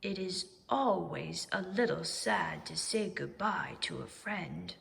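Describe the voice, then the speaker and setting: A woman voicing a friendly, simple-hearted male owl from a storybook, speaking earnestly and deliberately. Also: fairly low pitch, vocal fry, fairly bright tone, in a large hall, pitched below the performer's own voice, full character voice. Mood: wistful.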